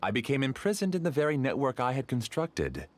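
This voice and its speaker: A man's voice: mid-range voice, huge pitch swings, a little mellow. A man acting a smug, obsessive scholar villain in a video game, grandiose and theatrical as he justifies himself. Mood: calm self-justification. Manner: grandiose, theatrical, measured exposition. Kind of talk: scripted video game dialogue.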